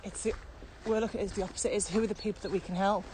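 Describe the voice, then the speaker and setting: A woman with a mid-pitched voice chats casually as a podcast co-host, working out her thoughts as she speaks. She is recorded outdoors while walking, with faint ambient noise behind her. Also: steady tone, racing, dark tone. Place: walking outdoors across open fields.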